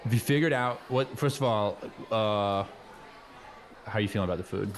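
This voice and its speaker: A man's voice: lowish voice, normal speed, faint music behind, lightly acted. A man playing a friendly, nosy teenage schoolboy in improvised comedy, talking in a casual, rambling, earnest way. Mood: chatty. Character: chatty, nosy, friendly teenage schoolboy showing newcomer around. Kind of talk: improvised comedy dialogue, naturalistic casual chat.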